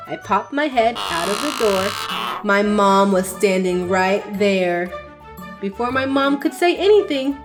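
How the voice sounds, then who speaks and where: A young adult woman hosting a children's channel, reading a picture book aloud in an expressive, sing-song way. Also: a little high. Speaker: children's story reader. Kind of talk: read-aloud storytelling for children.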